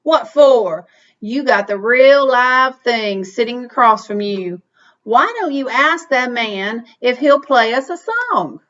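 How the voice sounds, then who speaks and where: Woman reader as storybook grandma: folksy, firm, upbeat and wise, slow delivery, muffled sound. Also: on the high side, expressive, a bit bright, voice pushed up. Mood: chiding, wise. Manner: folksy, instructive.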